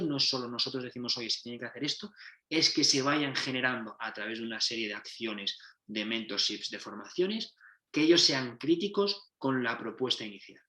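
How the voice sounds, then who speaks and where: A man presents his club's training method. He explains point by point and keeps restarting and fixing his wording. His voice is bright and forward, and it rings with the echo of a roomy space. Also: medium pitch. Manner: explanatory, restarts and self-corrections. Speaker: football coach and consultant.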